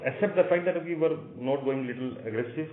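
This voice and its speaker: An Indian-accented man, a company executive giving halting, off-the-cuff answers with repeated phrases on an earnings call. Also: mid-range voice. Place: conference call. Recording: roomy echo, narrow phone-like line.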